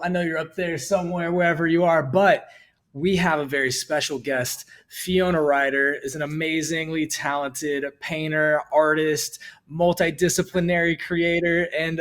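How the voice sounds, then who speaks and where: A man hosting an interview show, energetic and friendly, with many fillers, on a video call. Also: on the high side. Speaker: show host. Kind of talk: casual hosting.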